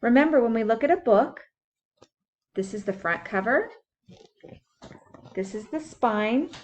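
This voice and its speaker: A woman's voice: a little high, animated, unhurried. A woman teacher reading a science book aloud for young children, explaining things simply and putting questions to her listeners. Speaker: school teacher.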